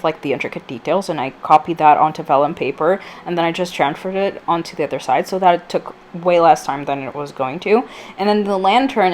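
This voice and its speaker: A woman's voice: lowish voice, darkish tone, slight background noise. A woman giving a casual, rambling, self-deprecating voice-over as a vlogger, her voice slightly off from allergies. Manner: informal, rambling, self-deprecating, filler-heavy. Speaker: vlogger, channel host. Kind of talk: casual chatty voice-over for a craft video.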